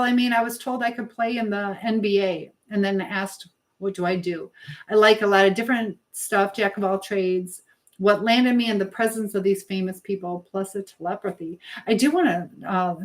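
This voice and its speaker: A middle-aged American woman chatting casually as a livestream host, reading and answering comments with an encouraging manner, hopping from one thought to the next. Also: mid-range voice. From Michigan, United States. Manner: chatty, jumps between topics mid-thought.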